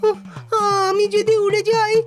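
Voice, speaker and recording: A man voicing a fretful male court poet in a cartoon, whining shrilly in drawn-out singsong rhyme. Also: monotone. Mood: panicked, wailing. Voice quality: shrill, whiny. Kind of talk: exaggerated comic cartoon acting. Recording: music behind the voice.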